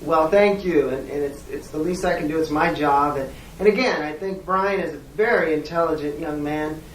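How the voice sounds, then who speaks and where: A person playing a polite schoolteacher on a call to a parent, speaking in a measured, hesitant and diplomatic way with frequent pauses. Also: medium pitch, lightly acted. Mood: encouraging. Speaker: teacher. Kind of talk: role-played phone call demonstration. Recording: echoey room, distant mic, faint noise.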